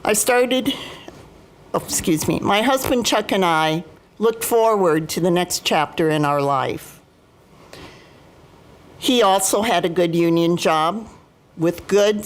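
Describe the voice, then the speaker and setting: An American woman in her seventies giving prepared personal testimony as a speaker, in a formal, deliberate and emphatic manner. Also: mid-range voice. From United States, Midwest. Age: 70s. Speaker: union retiree chapter president, guest speaker.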